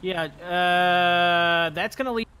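A man's voice: sits high, takes it slowly, sharp tone, clean tone. A man in casual multiplayer gaming chat, giving his group directions over game vehicle noise. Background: game vehicle sounds.

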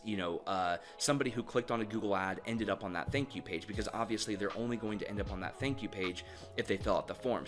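A man running a marketing agency, giving direct advice to viewers in a casual, conversational explainer full of "you know" and "um". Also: on the low side.

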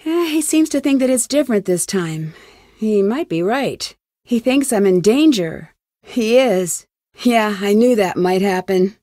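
A woman's voice: medium pitch, lively intonation, a little slow, warm tone, no room sound, clean studio sound, lightly acted. An actress playing an earnest, determined young female amateur detective in conversational video game dialogue. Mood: puzzled, focused. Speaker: lead character in a detective adventure game.

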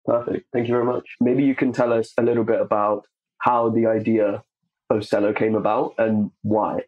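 A man's voice: lowish voice, flat delivery, normal speed, phone-quality sound. A man hosting a podcast, asking friendly prompting questions of his guest. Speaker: podcast host. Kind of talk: podcast interview.

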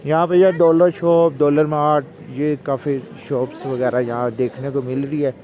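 A man's voice: a little high, little pitch movement, normal speed, mellow, dull audio. A young man vlogging casually as he walks a busy night market, chatting and calling out to his friend. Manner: chatty, calls out to his friend while walking. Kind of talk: casual walking vlog. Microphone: phone held at arm's length. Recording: busy crowd noise behind. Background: crowd chatter and traffic.

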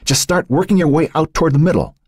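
A man's voice: on the high side, fast talker, a little mellow, breathy edge, no echo, studio quality, pitched above the performer's own voice. A man voicing a determined young boy who coaxes and insists, guiding a scared friend in a storybook reading. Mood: urging. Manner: urging, insistent.

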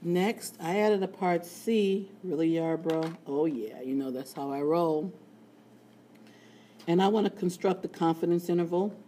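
A woman teaching a statistics lesson in a screencast, with a raspy voice and playful, chatty asides. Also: lowish voice, a little slow, mellow, a little hiss or hum. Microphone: tablet screen recording.

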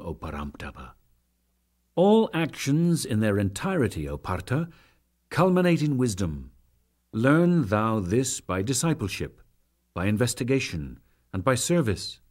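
A man narrates sacred verse as a dramatic reading, solemn and measured, with deliberate phrasing and frequent pauses. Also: lowish voice, darkish tone.

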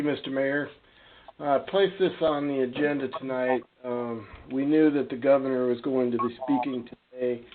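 A man with a mid-pitched voice gives a courteous, formal report to a council. He is heard over a tinny phone line with faint noise. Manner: deferential, courteous. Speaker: town staff official presenting to council. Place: conference call. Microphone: telephone.

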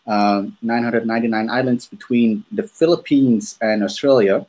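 A man in his thirties from Maluku, hosting a podcast over a video call, telling his story at an unhurried pace with many ums and restarts. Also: medium pitch, a little mellow. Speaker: podcast host. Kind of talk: casual conversational storytelling. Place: room at home, on a video call. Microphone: earphones with a desk microphone.

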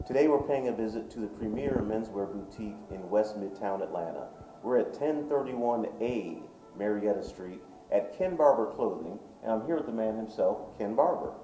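A man's voice: on the low side, lively intonation, moderate pace, dark tone, far from the mic. A man in his forties hosting a style show, interviewing a shop owner with polished, upbeat patter on location. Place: menswear boutique, indoors. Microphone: far camera. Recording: roomy shop sound from off-mic.

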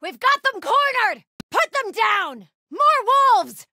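An actress voicing a fierce, zealous cult warrior in a video game, barking urgent, hostile commands. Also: female voice, very high-pitched, very animated, slow, sharp tone, dry recording, clean studio sound, exaggerated voice. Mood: frantic battle fury. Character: fierce, zealous female cult warrior hunting her enemies. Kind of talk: video game combat barks.

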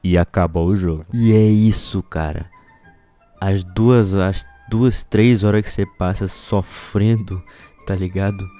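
Brazilian male gaming host, lowish voice, exasperated and foul-mouthed, casual commentary over clear game music. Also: mellow. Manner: exasperated, sweary, complaining. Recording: music behind the voice.

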